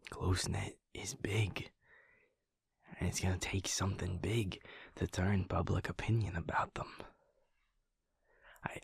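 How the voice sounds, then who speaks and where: A man voicing a gravelly whispering werewolf boyfriend. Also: low-pitched, dry recording, clean studio sound, exaggerated voice. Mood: worried. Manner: soft, hushed, confiding. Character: caring werewolf boyfriend, young adult male. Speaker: audio roleplay performer. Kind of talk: intimate audio roleplay.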